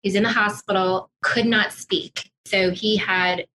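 A woman telling personal stories as an interview guest, sincere and halting, restarting phrases for emphasis. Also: medium pitch, fairly even intonation, pushed and bright, a little hiss or hum, poor audio. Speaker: podcast guest, corporate executive. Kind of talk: personal storytelling in casual interview.

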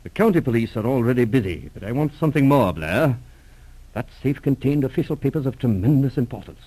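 An actor playing a grave, formal English lord and cabinet minister near sixty in a 1940s radio drama, measured and a little hesitant. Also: male voice, mid-range voice, expressive, mellow, breathy edge, poor audio. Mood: grave, concerned. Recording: old radio drama recording.

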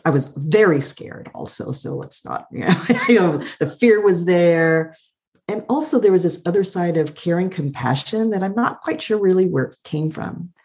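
Middle-aged woman, low voice, soothing and calm, reflective, personal storytelling, frequent "like". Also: normal speed. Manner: warm, thoughtful, laughs often, uses "like" a lot. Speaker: guest.

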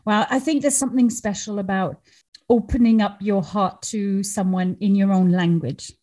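A woman's voice: medium pitch, steady tone, normal speed, warm tone. A woman hosting a podcast interview, enthusiastic and affirming toward her guest. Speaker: podcast host.

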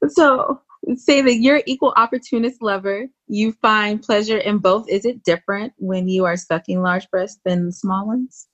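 A woman's voice: mid-range voice, a little slow, hardly any pauses, lo-fi sound. A woman hosting a casual podcast interview, putting blunt, probing questions to her guest. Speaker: podcast host.

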